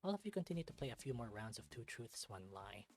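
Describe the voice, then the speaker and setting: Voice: medium pitch, sing-song, fast talker, darkish tone, soft background music. A let's-play host reading a visual novel aloud, moving between storybook-like narration and casual commentary.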